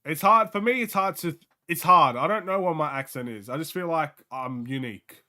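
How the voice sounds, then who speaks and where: A young Australian man with a light accent talks through a live basketball watchalong, reacting excitably and chatting with viewers. His voice sits a bit high for a man, with a bright, forceful edge. He laughs easily and keeps the commentary loose and casual. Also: normal speed. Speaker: streamer hosting a game watchalong.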